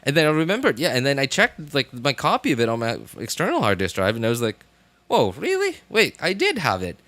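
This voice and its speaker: A man in his thirties chatting casually on a livestream, rambling and haltingly thinking aloud. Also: mid-range voice, wildly swooping pitch, a bit bright, no echo.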